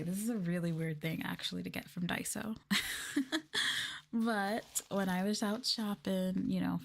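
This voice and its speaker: A woman streaming ASMR, chatting casually and softly to her viewers, often slipping toward a whisper. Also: on the low side, creaky, breathy edge. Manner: soft-spoken, often dropping into whispers. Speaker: VTuber streamer hosting an ASMR livestream. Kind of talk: casual ASMR soft talking to chat. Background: crinkling plastic and tapping trigger sounds.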